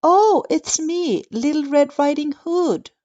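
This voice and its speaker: Woman as a high-pitched innocent little girl. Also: slow, dark tone, highs cut off. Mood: innocent, puzzled.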